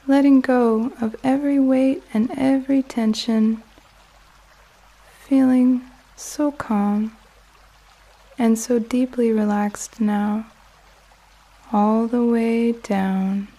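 Female meditation guide: soft and soothing, slightly high yet mellow, unhurried and calming delivery for sleep. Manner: gentle, calming, unhurried with long pauses. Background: soft music and flowing water nature sounds.

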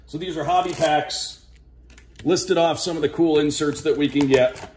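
A man with a slightly high voice presents an unboxing in an upbeat, casual way. He speaks directly to viewers like a host guiding them through each item. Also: steady tone, normal speed, a little mellow, a little hiss or hum. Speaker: sports broadcaster hosting a card unboxing. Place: indoor room at a wooden table.

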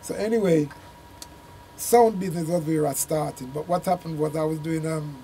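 An older man with a Jamaican accent, a reggae singer telling his story in a relaxed, rambling way in a small studio room. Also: fairly high pitch, poor audio. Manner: unhurried, rambling recollection with Jamaican turns of phrase. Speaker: reggae singer and sound system man, interview guest.

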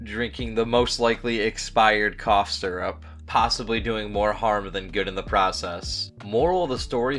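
A man's voice: mid-range voice, fairly bright tone. A man giving profane, sarcastic voice-over commentary that mocks a streamer. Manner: profane, mocking, ranting. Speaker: commentary narrator mocking a streamer.

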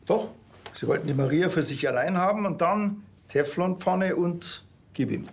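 A dry, laconic Bavarian village cop in a TV crime comedy. His fairly high voice crackles with fry and sounds offhand. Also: lively intonation, normal speed, heavily acted. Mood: dry, matter-of-fact. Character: laconic, dry small-town policeman.

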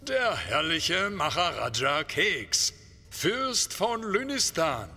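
A man's voice: on the high side, very animated, takes it slowly, pushed and bright, husky, long reverb tail, full character voice. A man playing a devoted servant who heralds his master floridly in a comic fairy-tale radio play. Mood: grandiose. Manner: proclaiming, florid.

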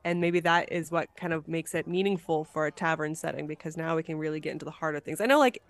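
A woman's voice: low voice, fast talker, dry recording. A woman hosting a podcast, discussing ideas in a casual conversational style. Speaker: podcast host.

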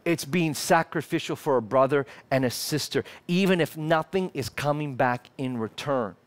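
A man in his thirties with an Australian accent, preaching a sermon into a headset mic on a church stage, emphatic and shifting between fervent and hushed. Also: fairly high pitch, normal speed. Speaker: pastor. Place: church stage in front of a lit screen.

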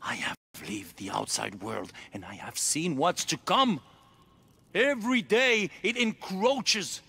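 An actor playing a proud, driven middle-aged cult leader in a video game cutscene, intense and grandiose, moving between hushed and declamatory. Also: male voice, high-pitched, expressive, slow, gravelly, breathy edge, exaggerated voice. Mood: impassioned.

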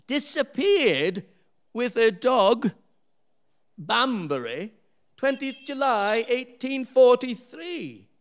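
A man's voice: very high voice, full character voice. A man playing a jovial, curious older husband in a radio play, chuckling and colloquial. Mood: curious, puzzled.

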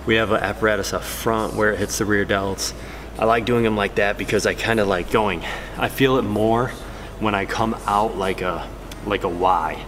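Man in his thirties, low voice, coaching between sets, long gym reverb, faint hum behind. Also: moderate pace. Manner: relaxed, talking between sets with fillers. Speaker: fitness vlogger and trainer. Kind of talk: casual workout instruction to camera. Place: large indoor gym. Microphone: camera-mounted mic, camera on a stand.

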